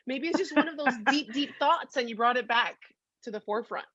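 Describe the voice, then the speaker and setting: A woman's voice: high-pitched, moderate pace, fairly bright tone, slightly rough, dry recording. A woman co-hosting a podcast in casual chat, playful and emphatic, often repeating phrases for effect. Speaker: podcast co-host. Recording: dull, lo-fi, dry audio.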